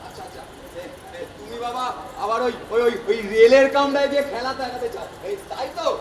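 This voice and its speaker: A man's voice: very high-pitched, fairly even intonation, a little fast. An actor playing a flustered, self-important old God in a comic stage play, stammering and repeating himself, pompous when he addresses a crowd. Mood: wary. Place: theatre stage at a drama festival. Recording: echoey distant stage recording, noisy audience.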